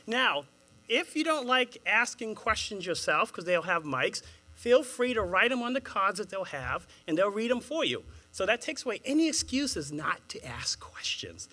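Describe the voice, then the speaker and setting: A man with a Boston accent moderating a panel, chatting with a live audience in an animated way and laughing at his own jokes. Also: sits high. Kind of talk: engaging address to a live audience.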